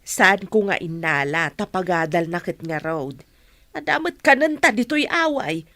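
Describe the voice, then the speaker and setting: A woman's voice: lowish voice, expressive, a little slow, pushed and bright, dry recording, full character voice. A woman with a Filipino accent acting a protective, defensive adoptive mother in a radio drama. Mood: reproving.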